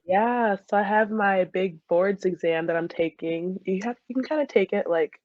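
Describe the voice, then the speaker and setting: A woman's voice: mid-range voice, normal speed, no echo. A woman in her twenties chatting casually as an interview guest, informal and easygoing, on slightly muffled audio. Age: 20s. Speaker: interview guest, dental student and soccer player. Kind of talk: casual chat.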